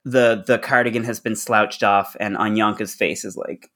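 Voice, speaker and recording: A man chatting casually as a podcast co-host, with informal, halting phrasing. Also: lowish voice, steady tone, pushed and bright.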